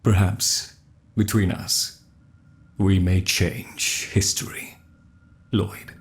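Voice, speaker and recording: A man playing a solemn, loyal laboratory keeper in a video game, formal and measured. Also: low-pitched, level tone, slight background noise. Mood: solemn, resolute. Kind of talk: scripted video game character dialogue.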